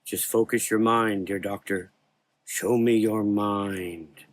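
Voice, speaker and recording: A man's voice: on the low side, steady tone, slow pace. A man playing a mysterious gothic figure with a gift for minds, speaking in a soothing, coaxing, hypnotic way in an audio drama.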